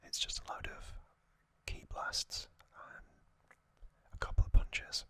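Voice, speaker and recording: Guy doing asmr, all breathy whisper, very bright and sibilant, flat delivery, rambling opinions. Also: slow pace. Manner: unscripted, musing, opinionated. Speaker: ASMR video host. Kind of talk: whispered ASMR recap with casual commentary.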